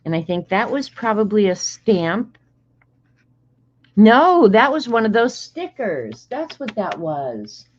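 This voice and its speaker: A woman's voice: mid-range voice, very animated, slow. A woman in her sixties chatting casually on a livestream as she opens mail, thinking aloud and laughing often. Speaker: streamer opening mail on stream. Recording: slightly dull lo-fi stream audio.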